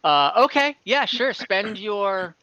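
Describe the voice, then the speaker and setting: A man running an online tabletop game as game master, often pausing and correcting himself as he rules and describes the action. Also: sits high, sing-song, pushed and bright, clear, resonant tone, no room sound. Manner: halting, fillers and self-corrections while ruling. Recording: dull lo-fi audio.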